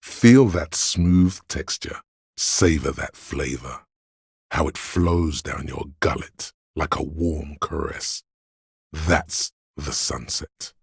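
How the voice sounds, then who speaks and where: A man voicing a video game's inner voice of addiction, a seductive, hedonistic tempter who coaxes and drawls in a deep, gravelly, husky voice. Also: slow pace, no echo, studio quality, full character voice. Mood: seductive, hushed. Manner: coaxing, insinuating, drawling. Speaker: video game voice actor. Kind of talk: theatrical second-person game narration.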